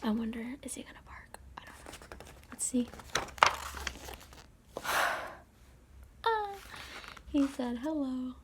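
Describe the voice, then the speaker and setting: A woman reading a children's storybook aloud in a soft ASMR whisper, with playful asides. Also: high voice, animated, slow pace, long pauses. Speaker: storybook reader.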